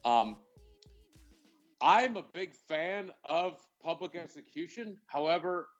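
Blunt, profane man with a higher voice. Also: expressive, slow, dull audio, faint music behind. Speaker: podcast co-host. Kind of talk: casual, heated opinion chat.

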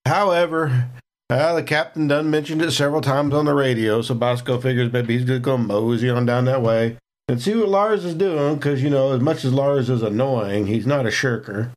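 A man's voice: mid-range voice, normal speed. A man playing a tabletop role-playing game, describing his character in a chatty, filler-heavy way. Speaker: player. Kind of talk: casual tabletop game chat.

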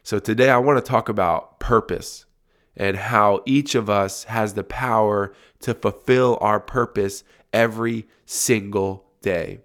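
Congested man with a deep voice. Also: measured pace. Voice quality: deep and resonant. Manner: relaxed, reflective, repeats words as he restarts. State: congested with a cold. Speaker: podcast host. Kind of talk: solo podcast monologue. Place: home recording room.

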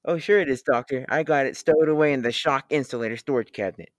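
A man's voice: medium pitch, quick pace, no room sound, lightly acted. A young man playing a blustering, folksy, good-hearted rocket pilot in a classic radio serial. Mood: eager. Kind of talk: classic radio drama acting.

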